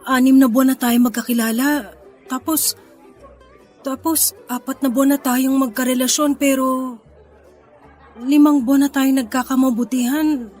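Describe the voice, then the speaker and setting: Woman doing soft-hearted filipino lover in a radio drama, high pitched, hesitant n pleading, bit of music behind. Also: steady tone, normal speed, pauses often, some chatter behind, lightly acted. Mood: hurt, confused.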